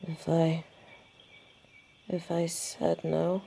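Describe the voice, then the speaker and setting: A woman's voice: deep voice, level tone, drawn-out words, gravelly, warm tone, husky, heavily acted. A woman playing a stubborn, hot-headed young female werewolf with a defiant, sarcastic manner in a romantic audio roleplay. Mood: breathy defiance.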